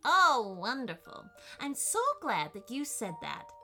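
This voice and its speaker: A woman's voice: a little high, sing-song, slow pace, pushed and bright, soft background music, pitched above the performer's own voice, full character voice. A woman voicing a friendly male talking cat in a children's story, genial and courteous. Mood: delighted.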